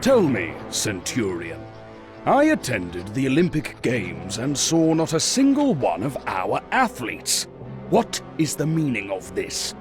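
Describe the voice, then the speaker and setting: Pompous Roman emperor, slow, hugely varied intonation, imperious, cartoon game. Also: male voice, medium pitch, wildly swooping pitch, music in the background. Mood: stern. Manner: pompous, grandly commanding.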